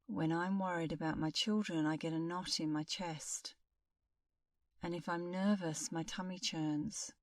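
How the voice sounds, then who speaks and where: A middle-aged woman guides a meditation in a calm, soothing manner. Her voice sits a little low and she speaks very slowly, leaving long pauses. The recording is very dry, with no room echo. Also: recorded from a distance. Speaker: meditation instructor.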